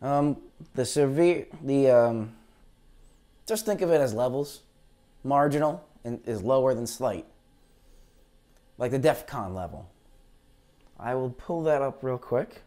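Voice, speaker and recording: A man with a tired, low voice after heavy talking, hosting a live weather stream in a chatty, rambling way, talking into a lavalier mic. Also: expressive, slow, pauses often, warm tone. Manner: chatty, rambling, unsure asides. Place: green screen studio, indoors. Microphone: lavalier clip mic.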